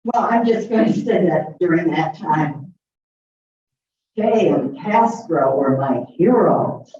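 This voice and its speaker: A woman's voice: low voice, lively intonation, takes it slowly, warm tone, dull audio. A woman in the audience sharing a frank memory. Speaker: audience member. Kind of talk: audience comment. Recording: very echoey room from a distance.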